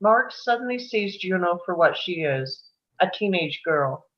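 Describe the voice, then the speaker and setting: A woman's voice: on the low side, expressive, unhurried, muffled recording, slight background noise. A woman reading stage directions as scripted narration at a live table read.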